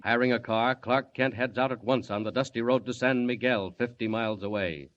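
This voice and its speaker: A man narrating a scripted radio serial in a dramatic, measured 1940s announcer style. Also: mid-range voice, noisy background. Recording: dull old radio recording.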